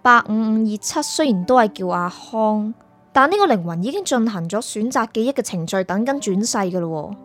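A woman's voice: medium pitch, expressive, a little fast, lightly acted. A woman with a Hong Kong Cantonese accent acting a stern, impatient spirit prosecutor in a radio drama, curt and authoritative. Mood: stern, official. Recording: music behind.

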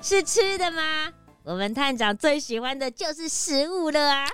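Young detective's assistant: high, animated, eager and inquisitive, for children's audio drama. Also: female voice, moderate pace, faint music behind. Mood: impressed. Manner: exclamatory, inquisitive.

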